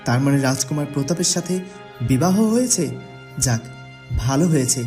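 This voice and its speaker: Man acting mellow-voiced, earnest young prince. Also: medium pitch, fairly quick, faint music behind, close to an everyday voice. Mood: kindly, pleased. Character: kindly, astonished young fairy-tale prince. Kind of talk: Bengali cartoon voice acting.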